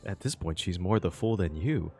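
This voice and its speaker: A man's voice: low-pitched, darkish tone. A man giving casual, exclamatory reaction commentary while playing a video game, swearing freely. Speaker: gaming reaction streamer.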